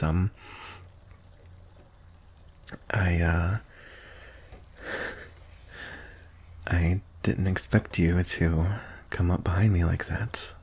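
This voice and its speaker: A man acts out a shy, adoring young male best friend in a boyfriend ASMR roleplay. His voice is low and soft. He speaks slowly and hesitantly, with a nervous, tender manner. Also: exaggerated voice. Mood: flustered, nervous. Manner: hesitant, soft-spoken, breathy sighs and nervous chuckles. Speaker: audio roleplay performer. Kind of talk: boyfriend roleplay ASMR, intimate scripted acting.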